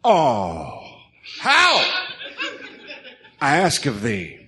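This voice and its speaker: A man's voice: medium pitch, wildly swooping pitch, extremely slow, bright, forceful tone, full character voice. A man playing a delusional crime boss who believes he is Zeus, declaiming grandly in archaic words in an amateur audio drama. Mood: indignant, pompous. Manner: grandiose, theatrical, archaic diction.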